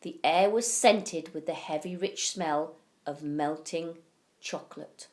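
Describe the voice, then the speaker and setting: Slow-paced woman reading a children's story. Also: low voice, a little mellow. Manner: deliberate, emphatic, storyteller phrasing with drawn-out key words. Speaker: primary school teacher reading to pupils. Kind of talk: expressive children's bedtime story narration.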